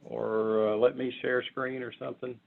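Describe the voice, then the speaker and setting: A man informally presenting on a conference call, explaining step by step in a halting way and often repeating words. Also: medium pitch, level tone, gravelly. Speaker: committee member presenting proposals. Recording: muffled narrow phone-like conference line.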